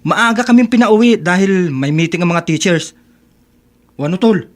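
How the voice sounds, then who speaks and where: An actor playing a loyal young student, gentle and concerned, in a casual radio drama scene. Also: male voice, high-pitched, expressive, moderate pace. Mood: concerned, friendly.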